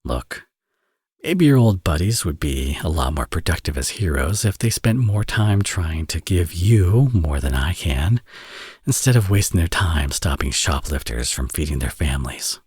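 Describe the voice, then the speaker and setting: Man acting a deep, husky flirtatious villain. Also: sing-song, a little mellow, no room sound, studio quality, exaggerated voice. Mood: wry, playful. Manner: teasing, sardonic, drawling with drawn-out phrases. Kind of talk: ASMR romance audio roleplay, intimate acting.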